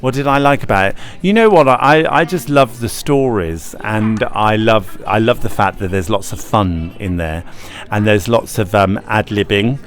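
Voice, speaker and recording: A male pantomime actor answers questions in an interview. He speaks in a fairly low voice, chatty and full of enthusiasm. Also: normal speed, hardly any pauses.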